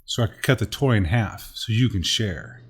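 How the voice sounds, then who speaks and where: A man acting as a father and narrator, reading a Bible story aloud to his sons in gentle, earnest tones. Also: lowish voice, animated, normal speed, breathy edge. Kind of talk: reading a Bible story in a home-made audio drama.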